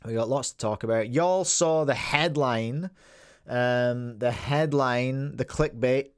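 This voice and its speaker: A man's voice: medium pitch, takes it slowly, clear, resonant tone, no room sound, clean studio sound. A Scottish man in his forties hosting a casual livestream podcast, rambling and chatting with viewers by name. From Scotland. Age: 40s. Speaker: podcast host.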